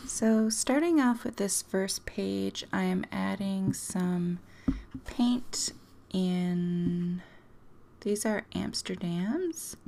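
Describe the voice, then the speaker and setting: A woman hosting a craft tutorial, talking casually and chattily with a hoarse, strained voice. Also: mid-range voice, mellow. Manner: chatty, self-deprecating, laughs often. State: hoarse, losing her voice.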